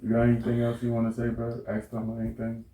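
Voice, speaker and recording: A man's voice: medium pitch, completely flat delivery, fast, dark tone. An American man hosting a casual interview, informally prompting his guests and agreeing with them. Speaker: interview host. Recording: echoey room, distant from mic.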